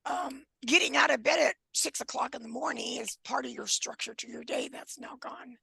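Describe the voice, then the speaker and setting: A woman in her seventies giving an informal presentation over a video call, unhurried and halting, with a scratchy voice and frequent throat clearing. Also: sits high, bright, forceful tone, no room sound. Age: 70s. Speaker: guest presenter on wellness.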